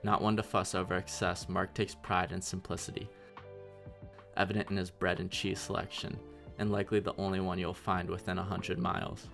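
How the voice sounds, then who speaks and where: Very deep-voiced man narrating a documentary. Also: level tone, fairly quick, gravelly, a bit bright, soft background music. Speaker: interviewer and narrator.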